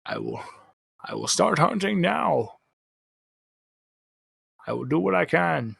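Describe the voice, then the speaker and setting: Man voicing a high, halting, kindly ancient grandfather deity. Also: wildly swooping pitch, creaky, fairly bright tone, husky, no room sound, studio quality, exaggerated voice. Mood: resolute. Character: grandfatherly ancient eldritch deity, startled but obliging.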